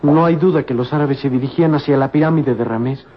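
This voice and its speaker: An actor playing a loyal, devout young servant in an old radio serial, with a respectful, earnest manner. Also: male voice, medium pitch, quick pace, lightly acted. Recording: muffled, noisy old radio serial recording.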